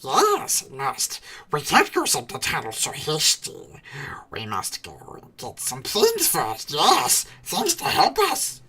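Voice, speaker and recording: A man voicing a wretched, wicked cave creature with a raspy, hissing voice, whining and muttering to himself in a storybook reading. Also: fairly high pitch, huge pitch swings, slow pace, pushed and bright, exaggerated voice. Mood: wheedling, sly. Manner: whiny muttering to himself, erratic and drawn out. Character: miserable, wicked, slimy cave creature, wheedling and resentful.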